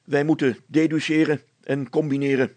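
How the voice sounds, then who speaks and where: A man's voice: a little high, level tone, moderate pace, a little mellow, no echo, natural voice. A man voicing a pompous, self-important village schoolmaster who plays detective in a storybook, declaiming in formal words. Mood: lecturing.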